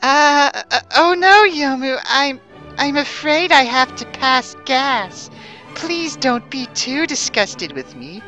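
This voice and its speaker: Woman acting a high, swooping ghostly mistress. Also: animated, takes it slowly, sharp tone, soft background music, heavily acted, low-quality recording. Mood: anxious, embarrassed. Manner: gushing, melodramatic. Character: elegant, doting, easily embarrassed ghost lady, a mistress.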